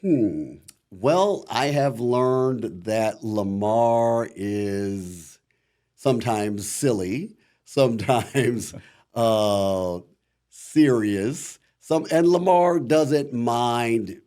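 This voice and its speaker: A middle-aged man with a deep voice and a Midwestern American accent, chatting reflectively as a voice actor guest in an interview. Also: expressive, drawn-out words, warm tone. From Akron, Ohio, USA. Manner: rambling, many "you know" fillers, reflective. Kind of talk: casual interview talk.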